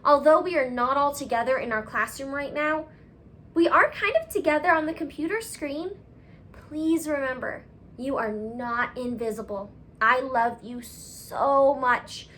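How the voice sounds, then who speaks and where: A woman school teacher speaking expressively to young children as in a storybook read-aloud, affectionate and with gentle emphasis. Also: sits high, unhurried, a little hiss or hum.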